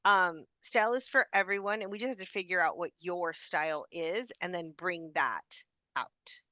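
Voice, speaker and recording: A woman's voice: on the low side, moderate pace, bright, forceful tone, no echo. A woman in her fifties, a personal stylist chatting casually in an interview and acting out what her clients tell her. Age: 50s. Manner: chatty, acts out clients' complaints in quotes.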